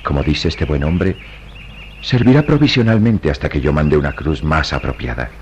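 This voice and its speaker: An actor playing a calm, devout priest detective in a radio drama, speaking in a measured, solemn and sententious way. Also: male voice, extremely low pitch, muffled recording, slight background noise. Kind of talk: old radio drama acting.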